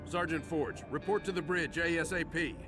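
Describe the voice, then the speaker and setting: Man as firm commander over loud music. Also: fairly high pitch, steady tone, moderate pace, natural voice. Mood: firm, urgent. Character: formal, firm male military commander briefing his troops. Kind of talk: military game voice acting. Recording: very loud music, vehicle noise behind.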